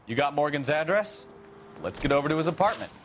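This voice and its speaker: A man's voice: a little high, lively intonation, a little fast, fairly bright tone, a little hiss or hum. A man playing a brash, scornful police detective in a video game, blunt, contemptuous and slangy. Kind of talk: scripted video game acting.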